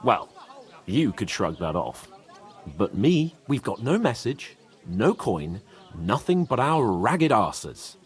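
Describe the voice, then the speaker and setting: Sarcastic hot-headed young noble, medieval game, super swingy voice. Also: male voice, fairly low pitch, very animated, takes it slowly, breathy edge, exaggerated voice. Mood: bitter, mournful. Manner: sarcastic, swearing, griping. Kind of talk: video game cutscene acting.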